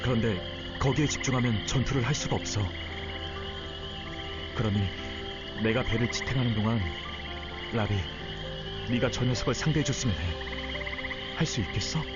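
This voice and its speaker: Casting: a man plays a kind, dutiful young mentor for an anime game, mid-range earnest voice over loud music. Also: medium pitch, normal speed, pauses often, close to an everyday voice. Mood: grave, resolved. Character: gentle, responsible young male teacher and protector. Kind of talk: anime-style game story acting. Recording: dull game audio under loud music.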